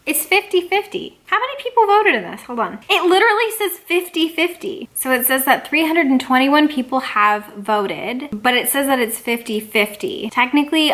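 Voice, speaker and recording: A woman's voice: high voice, fairly bright tone. A woman vlogger talking informally to her viewers, rambling a little and thinking aloud. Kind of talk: casual vlog chat to viewers.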